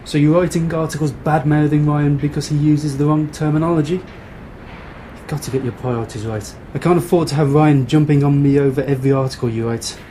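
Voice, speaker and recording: A man's voice: a little high, steady tone, fairly quick, dark tone, slight background noise, close to an everyday voice. A man playing a stern, exasperated newspaper editor in an amateur radio play, reasoning and admonishing as he speaks.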